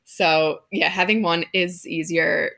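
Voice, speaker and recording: A woman's voice: on the low side, fairly even intonation, takes it slowly, gravelly, sharp tone. A woman in her thirties, born in Hong Kong, a mother of twins speaking candidly and matter-of-factly as a podcast guest. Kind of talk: casual interview chat.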